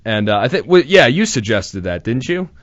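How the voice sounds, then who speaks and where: A man's voice: medium pitch, big pitch swings, moderate pace, no room sound, lo-fi sound. A young man hosting his first college radio show, talking casually with nervous restarts and self-deprecating asides in a small studio. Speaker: radio host. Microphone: studio microphone.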